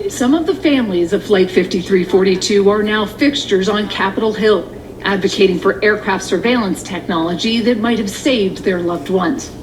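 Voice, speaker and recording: Female news correspondent over clear background noise. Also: mid-range voice, normal speed. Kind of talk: scripted news narration.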